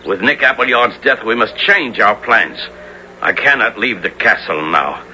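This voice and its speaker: An actor playing a gruff, wary man-at-arms who gives blunt, authoritative counsel in archaic speech in a 1970s radio drama. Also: on the low side, animated, unhurried, bright, forceful tone, exaggerated voice. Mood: brisk, firm. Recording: dull, slightly noisy old radio broadcast.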